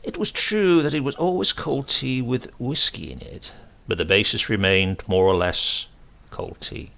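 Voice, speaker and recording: A man reading a literary comic story as an expressive, deliberate storyteller. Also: mid-range voice, gravelly. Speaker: reader of a comic short story. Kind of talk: scripted narration, literary storytelling.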